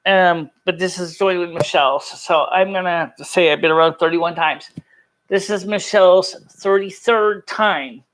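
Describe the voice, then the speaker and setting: A live stream host chatting casually and telling personal stories in an earnest, halting, rambling way. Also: medium pitch, a bit bright, rough recording. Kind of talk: casual chat to a live chat audience, personal storytelling.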